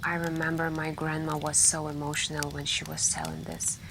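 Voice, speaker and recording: A soft-spoken woman telling scary stories in an ASMR style, hushed and intimate with pauses and drifts into whispering. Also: low-pitched, vocal fry. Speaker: ASMR roleplay performer playing a friend telling campfire stories. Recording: steady background ambience noise. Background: crackling campfire and night ambience.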